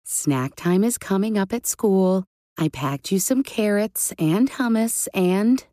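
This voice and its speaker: A woman voicing a patient, caring mother in a storybook, explaining things in a calm, reassuring way. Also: mid-range voice, slow, no echo, studio quality.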